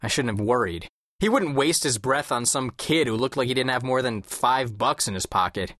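Animated teenage boy narrator, dry studio sound. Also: mid-range voice, moderate pace, no room sound. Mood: observant. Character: observant, wry teenage boy telling his story. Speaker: first-person audiobook narrator. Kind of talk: scripted first-person story narration.

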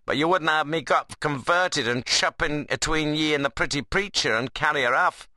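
A man's voice: fairly high pitch, normal speed, bright, forceful tone, no echo, pitched above the performer's own voice. A man voicing a wiry, sarcastic young workman in an audiobook novel, mocking and needling in a dialect. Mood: teasing, sarcastic.

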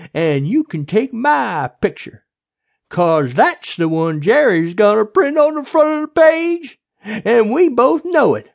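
A narrator voicing a bossy, sarcastic, folksy small-town sheriff in an audiobook, with a drawn-out barking delivery. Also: mid-range voice, vocal fry, pitched above the performer's own voice, heavily acted.

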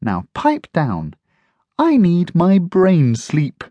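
A narrator voicing a clever, know-it-all male puppy in a storybook, speaking in a slow, smug drawl. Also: medium pitch, huge pitch swings, dark tone, dry recording, lo-fi sound, voice pushed up, exaggerated voice.

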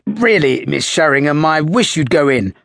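Man reading as a spirited young English lady, playful and high, breathy tone, dry close sound. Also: huge pitch swings, a little slow, no echo, heavily acted. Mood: teasing. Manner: bright, playful, raised pitch. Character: young English woman passenger, lively and teasing.

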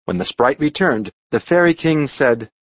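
A man narrating a storybook audiobook in a measured, expressive storytelling manner. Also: mid-range voice, no echo, lo-fi sound.

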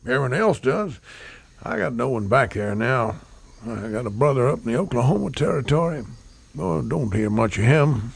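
An actor playing an aging, hospitable frontier rancher in a western audio drama, talking in a folksy, rambling, hesitant way. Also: male voice, mid-range voice, animated, moderate pace, vocal fry, slightly rough. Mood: resigned, neighborly.

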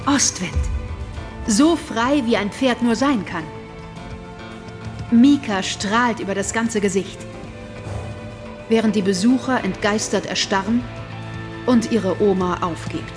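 A woman narrating a radio play in a calm storytelling manner. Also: medium pitch, takes it slowly, pauses often, over music.

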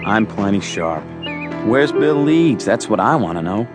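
A cocky western outlaw sidekick, eager and boastful. His pitch leaps about animatedly, with loud music behind the dialogue. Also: very animated, a little slow. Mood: defensive.

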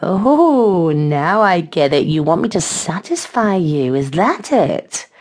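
A woman's voice: sits low, expressive, measured pace, creaky, a little mellow, full character voice. A woman voicing a playful, self-assured seductive woman who talks in a sweetly teasing, mock-innocent way in an audiobook.

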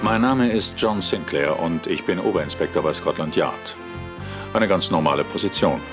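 Cheeky inspector and ghost hunter, lowish voice, dry mock-obedience, radio-play delivery over music. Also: male voice, normal speed. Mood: matter-of-fact. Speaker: lead character and narrator. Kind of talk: German audio drama acting and first-person narration. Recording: studio audio drama with music bed.

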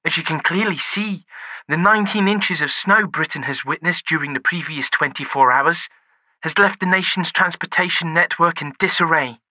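A man's voice: on the high side, moderate pace, bright, forceful tone, dry recording, voice pushed up, rough recording. A male audiobook narrator voicing a polite female TV news reporter with a slight shiver, in a formal broadcast manner. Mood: formal newsreading.

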